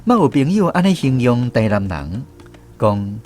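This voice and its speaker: A man's voice: medium pitch, big pitch swings, measured pace, a little mellow. A man with a Taiwanese accent playing a reflective troupe director who narrates his memories in a scripted radio drama. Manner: storytelling, reminiscent. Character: reflective male troupe director recalling touring days. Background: music.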